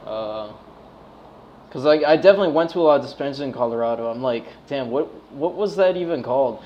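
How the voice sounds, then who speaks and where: An American man with a slightly high-pitched voice hosts a casual livestream. He talks in a loose, laid-back stoner way, full of slang. Swearing comes freely as he chats. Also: dull audio, slight background noise. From United States. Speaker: livestream host.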